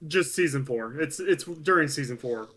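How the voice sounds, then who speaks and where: Bright-toned man chatting casually. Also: a little high, lively intonation, moderate pace. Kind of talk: casual chat.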